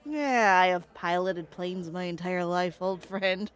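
Fierce, unhurried woman pilot played by an actress. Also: on the low side, smooth, natural voice. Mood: warm, confident. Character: fierce, foul-mouthed, hopeful woman pilot in apocalypse. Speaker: voice actor. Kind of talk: dramatic sci-fi audio drama acting.

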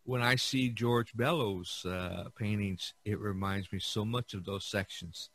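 A man hosting a podcast in casual chat, speaking haltingly and repeating words as he searches for phrasing. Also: lowish voice, fairly even intonation, a bit bright, husky, no echo. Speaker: podcast host.

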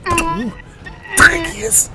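Man playing a slow, desperate young priest. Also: very high voice, steady tone, vocal fry, over music, full character voice. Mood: desperate fury. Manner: shouted, drawn-out demands. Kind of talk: fan-made fantasy audio drama acting.

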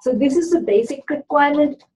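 A woman's voice: sits high, brisk, warm tone. A woman walking through admission requirements in an informational webinar, explaining step by step with frequent "um" fillers. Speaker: admissions presenter. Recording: dull lo-fi webinar audio.

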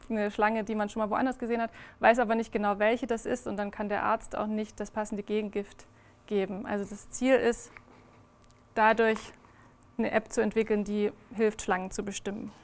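A young woman giving a presentation to a small audience indoors, talking with many fillers and restarts. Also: mid-range voice. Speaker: project presenter. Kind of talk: presentation talk. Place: indoor reading room, in front of a small audience.

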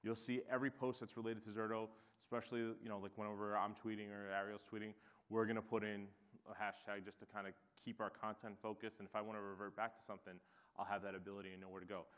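A man's voice: lowish voice, flat delivery, quick pace. A man presenting tips to a conference audience in an upbeat, chatty way. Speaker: presenter, host of the session. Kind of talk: conference panel talk.

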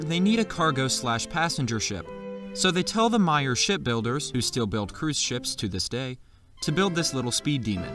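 Wry, lively-voiced male history narrator. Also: medium pitch. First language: not German. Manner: sarcastic, jokey asides. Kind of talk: scripted comedic narration. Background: faint background music.

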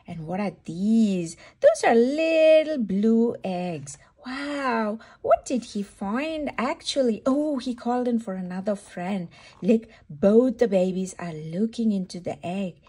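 A woman's voice: a little high, lively intonation, slow, mellow, slightly rough. A woman reading a picture book aloud to toddlers as a storytime host, in a sing-song, exclaiming manner with simple questions for a small child.

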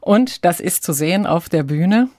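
A woman's voice: lowish voice, animated, moderate pace, warm tone, no echo. A woman hosting a conversational radio interview, recorded close in a studio. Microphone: close studio microphone.

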